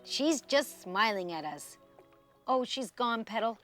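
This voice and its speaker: Give the part of a young island boy in a storybook , acted by a woman. He is excitable and trusting, exclaiming breathlessly, with a high voice that leaps up and down. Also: takes it slowly, soft background music. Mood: excited, hushed.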